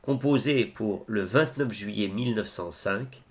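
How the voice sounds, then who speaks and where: A man reciting religious poetry in a solemn, declamatory way, phrase by phrase with deliberate pauses. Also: lowish voice. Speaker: reader of devotional poetry.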